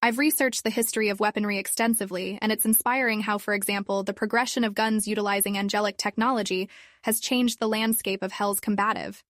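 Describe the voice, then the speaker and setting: A woman's voice: medium pitch, steady tone, quick pace, fairly bright tone, no room sound, studio quality, lightly acted. A woman voicing a nervous, polite, wordy male imp husband.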